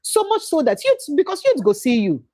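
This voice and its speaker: A woman's voice: high-pitched, fairly quick. A Nigerian woman hosting a casual livestream panel, talking with energy and dropping pidgin phrases into her English. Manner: animated, mixes in pidgin phrases. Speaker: livestream host. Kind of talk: casual live panel chat.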